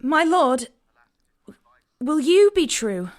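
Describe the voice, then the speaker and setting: A woman's voice: high voice, very animated, very slow, full character voice. A woman playing a grief-stricken young Trojan noblewoman in love, speaking classical verse with theatrical emotion in an audio drama. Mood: hurt, pleading.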